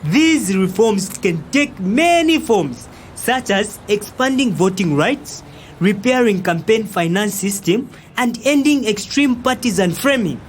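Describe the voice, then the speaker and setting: An indignant young Zambian man in an audio drama, played by an actor, pressing his point emphatically with lots of interjections. His pitch swings in a lively way, and some background noise sits behind him. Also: measured pace. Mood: insistent. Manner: argumentative, emphatic, frequent interjections.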